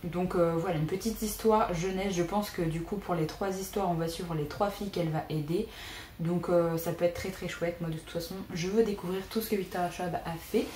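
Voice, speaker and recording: Young woman, chatty booktuber, mellow tone, roomy echo, faint hiss. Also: on the low side, steady tone, a little fast. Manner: chatty, frequent "euh" fillers. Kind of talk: casual book wishlist vlog. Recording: echoey room with faint noise.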